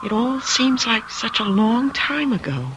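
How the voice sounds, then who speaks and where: A haunted woman traveler, dreamy and fatalistic, her pitch swinging widely through each thought. The brooding radio-mystery performance comes through clear noise on an old recording. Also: sing-song, a little slow. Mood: dazed, brooding. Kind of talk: old-time radio drama acting.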